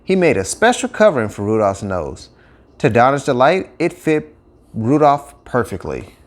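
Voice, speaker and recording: Animated male children's storyteller. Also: mid-range voice, normal speed. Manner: expressive, emphatic phrasing. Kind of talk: scripted children's story narration.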